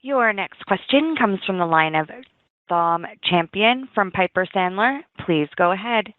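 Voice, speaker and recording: A woman's voice: medium pitch, expressive, unhurried, dry recording. A woman working as a conference call operator, making scripted announcements. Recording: dry tinny phone line.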